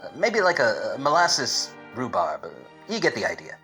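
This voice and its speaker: Male actor as hard-boiled but dim 1940s gumshoe. Deadpan wisecracks, melodramatic radio narration, mid-pitched. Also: normal speed, soft background music. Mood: wry, resigned. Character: wisecracking, obtuse 1940s private detective.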